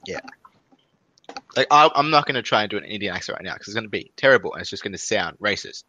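A man's voice: fairly low pitch, expressive, fast talker, sharp tone, no room sound. A young Australian man with an Australian accent, co-hosting a podcast in a laid-back casual chat and laughing easily. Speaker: podcast co-host. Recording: faint background hiss.

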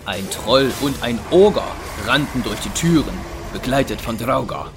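A man narrating a scripted dramatic story in a measured, deliberate way. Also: mid-range voice, animated. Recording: music under the voice.

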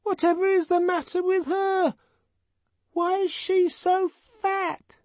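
A man's voice: little pitch movement, slow pace, no echo. Squealing little boy twins. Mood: gawping. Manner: high squeaky falsetto. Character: gawping eight-year-old boy twins, squealing, curious. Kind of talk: audiobook reading.

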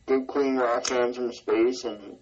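Brief: easygoing hillbilly buddy, a man's plain voice, mid-pitched, short replies, dull lo-fi recording. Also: fairly even intonation, slow pace, a little mellow. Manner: short, mild, agreeable replies. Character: calm hillbilly sidekick, man of few words.

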